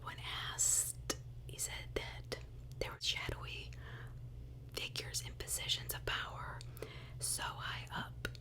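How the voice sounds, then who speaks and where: A woman tells a true-crime story in a hushed ASMR whisper. She takes it very slowly, leaning on words and repeating phrases for emphasis, and slips in her own asides as host.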